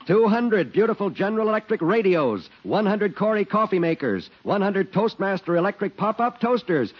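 An American man announcing in the style of a 1940s radio advertisement, with an urgent sales pitch. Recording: muffled 1940s radio recording.

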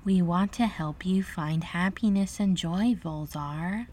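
A man voicing gentle little fairy sisters in a high, breathy whisper. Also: slow.

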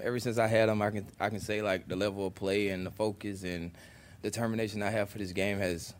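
A football player speaking casually in an interview clip, with loose, run-on phrasing. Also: male voice, fairly low pitch.

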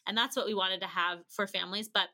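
A woman's voice: medium pitch, steady tone, quick pace, pushed and bright. An American woman as a podcast guest, explaining things in an informal, conversational way. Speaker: podcast guest, company representative.

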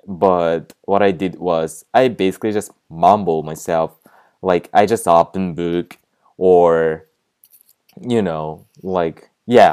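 Low-voiced man with a Japanese accent. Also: darkish tone, clear, resonant tone. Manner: halting, searches for words with frequent "like" and "um". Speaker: podcast host. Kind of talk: casual solo podcast tips.

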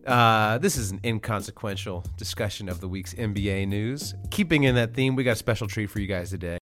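A man's voice: on the low side, fairly quick, a bit bright, faint music behind. An American man hosting a podcast with casual, dry, joking banter about basketball. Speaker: podcast host.

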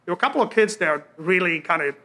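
High bright-voiced man from Romania. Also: expressive, fast talker. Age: 60s. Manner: rambling, many fillers and restarts. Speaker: guest. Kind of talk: casual life-story interview.

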